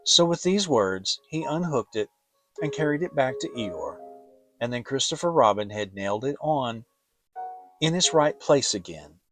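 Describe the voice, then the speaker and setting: A man's voice: mid-range voice, fairly bright tone, poor audio. A man narrating a children's story as a dramatic audiobook reading.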